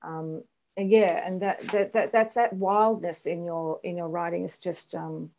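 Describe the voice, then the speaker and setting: A woman's voice: on the low side, fairly even intonation, unhurried, a little mellow. A woman hosting a casual author interview, talking in an effusive, gushing manner. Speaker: interviewer, host.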